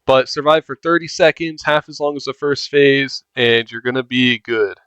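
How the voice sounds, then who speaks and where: A man's voice: medium pitch, steady tone, moderate pace, a bit bright, smooth, no room sound. A man gives casual, informal commentary for a video game walkthrough, talking directly to viewers. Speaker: gameplay guide narrator.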